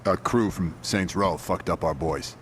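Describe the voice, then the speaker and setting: A man's voice: sits low, a little slow, a little hiss or hum. A man playing a hot-tempered gang leader in a video game, blunt and profane. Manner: blunt, profane, short-fused. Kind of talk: video game cutscene acting.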